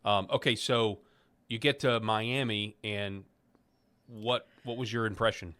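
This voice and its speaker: A male interviewer with a lowish voice and a sharp, bright tone. He speaks haltingly and stammers over his words as he cuts in with questions. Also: fairly even intonation. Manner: halting, stammers while cutting in.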